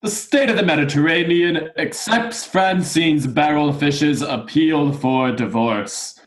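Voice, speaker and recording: A young actor voices a ponderous fish judge in a radio play. The delivery is very slow and formal, weighing each ruling with deliberate care. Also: male voice, fairly high pitch. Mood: pondering, solemn. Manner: formal, ponderous, hesitant hums. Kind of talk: student radio play acting.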